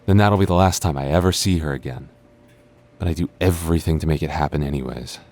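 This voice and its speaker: A man playing a stubborn young guy with a low, deep voice, confessing to his childhood friend in a romantic audio roleplay. He is earnest and regretful, stumbling and sighing through his words. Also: darkish tone. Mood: frustrated, anxious. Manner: halting, stammering, sighing, earnest. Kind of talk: intimate boyfriend roleplay audio drama.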